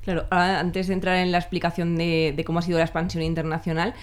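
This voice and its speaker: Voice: medium pitch, monotone, quick pace. A podcast host interviewing a guest in a conversational way, asking long questions that end in tag questions.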